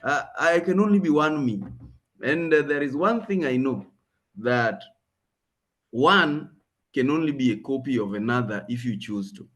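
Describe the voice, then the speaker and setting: Kenyan man moderating, halting and conversational. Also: mid-range voice, animated, a bit bright. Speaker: session host and moderator. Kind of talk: conversational moderating of an online talk.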